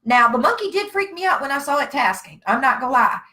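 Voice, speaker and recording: A woman's voice: high voice, animated, fast talker, sharp tone. A woman from Virginia with a Southern US accent, chatting and telling stories in a blunt, folksy way. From Virginia, United States. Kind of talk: casual chat, storytelling.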